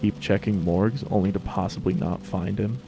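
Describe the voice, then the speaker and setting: A man's voice: deep voice, steady tone, fairly quick, creaky, over music. A man narrating a story as a scripted dramatic reading.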